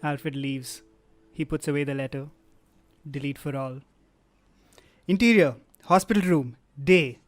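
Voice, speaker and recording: A man narrating stage directions for a comic table read, with wry, deadpan asides. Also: a little high, measured pace, long pauses, no room sound. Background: live guitar music.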